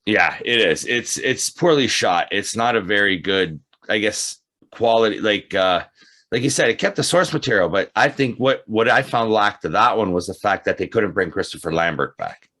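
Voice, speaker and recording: Lowish-voiced man in casual chat. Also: expressive, moderate pace, sharp tone. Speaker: host.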